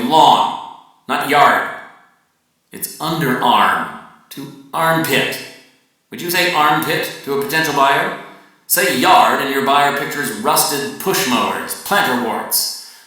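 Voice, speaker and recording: A man giving a dramatic literary reading as a pompous, preachy coach of realtors, lecturing emphatically with deliberate pauses. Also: medium pitch, bright, forceful tone. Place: large echoey venue, live reading. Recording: very echoey room, far from mic.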